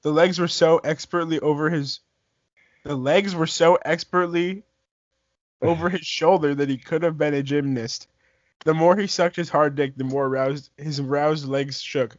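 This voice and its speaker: A man's voice: a little high, steady tone, moderate pace, no room sound. A young man casually reading a story aloud to friends, stumbling over lines and repeating them. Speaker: amateur fan fiction reader. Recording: dry, muffled lo-fi voice chat.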